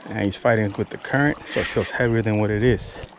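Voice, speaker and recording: A man's voice: fairly low pitch, fairly even intonation, fast talker. Man vlogging from a noisy fishing boat. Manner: repeats catchphrases, addresses viewers as buddies. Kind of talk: casual running commentary to viewers. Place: small open motorboat on a river. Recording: noisy open-air boat recording.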